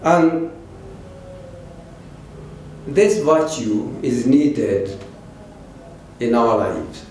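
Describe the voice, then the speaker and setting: An older man with a Kenyan accent, a pastor preaching a sermon slowly and deliberately into a pulpit microphone in a large church. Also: mid-range voice, lively intonation, darkish tone, slight background noise. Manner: slow, deliberate preaching with repeated phrases. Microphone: stand mic at the pulpit. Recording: very echoey church hall.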